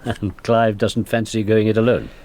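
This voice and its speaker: An actor playing a shrewd intelligence legal fixer in a radio drama, dry and clipped. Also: male voice, lowish voice, flat delivery, normal speed, a little mellow, close to an everyday voice. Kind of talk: naturalistic radio drama acting.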